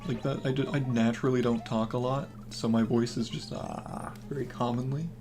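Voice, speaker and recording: A young man with a naturally hoarse voice, casually commenting on a game he plays while reading and answering his chat. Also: flat delivery, moderate pace. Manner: low-key, mumbling, encouraging. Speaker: game streamer.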